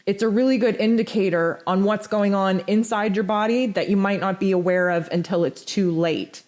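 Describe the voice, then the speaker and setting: Hoarse, blunt woman host. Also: normal speed. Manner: blunt, animated, pitch jumps high for emphasis. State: hoarse, nearly lost voice. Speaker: spiritual forecast host. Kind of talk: casual spoken forecast.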